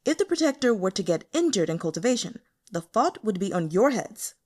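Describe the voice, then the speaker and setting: A woman's voice: mid-range voice, sing-song, fairly quick, a little mellow. A woman voicing a self-important male underling in a fantasy audiobook, pompous and commanding. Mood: bossy, warning. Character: bossy, self-important male underling ordering servants around.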